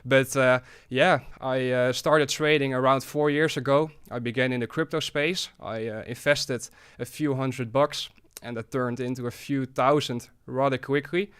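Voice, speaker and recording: Young Dutch-accented male guest, 18, smooth resonant voice, eager talker. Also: medium pitch, fairly even intonation, a little slow, a bit bright. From Netherlands. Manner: chatty, explains eagerly, light laughs. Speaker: podcast guest, trader. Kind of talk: relaxed interview talk.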